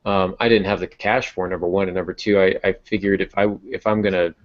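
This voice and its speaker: Male podcast co-host, low register, conversational storyteller who quotes dialogue. Dull, lo-fi recording. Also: steady tone, quick pace. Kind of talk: casual chat, storytelling. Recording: dull lo-fi audio.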